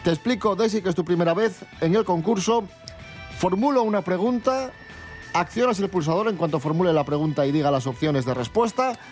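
High-pitched man hosting morning radio. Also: lively intonation, brisk, faint music behind. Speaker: radio host and quizmaster. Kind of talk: lively morning radio presenting.

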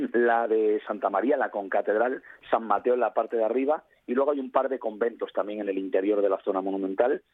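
Hombre con acento extremeño, detallista y explicativo, voz áspera, sonido de teléfono seco. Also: male voice, lowish voice, a little fast, dry recording. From Cáceres, Spain. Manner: detailed, explanatory, with frequent fillers. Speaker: tour guide. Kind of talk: interview guest over the phone. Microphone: telephone. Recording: tinny phone line.

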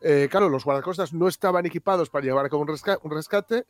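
A man co-hosts a casual radio show, reading out news stories and chatting as he goes. His voice sits a little high, he laughs easily, and the recording is dry and close. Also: fast talker, dry recording. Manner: chatty, laughs often, tags remarks with "¿no?".

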